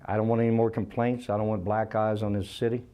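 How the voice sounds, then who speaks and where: A man's voice: on the low side, level tone, fast talker, warm tone. A man with an American accent answering interview questions as a town mayor.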